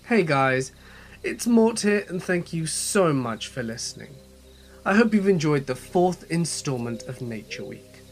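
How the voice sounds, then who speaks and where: A man with a slight head cold, hosting and narrating a horror story channel in a scripted storytelling style. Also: fairly high pitch.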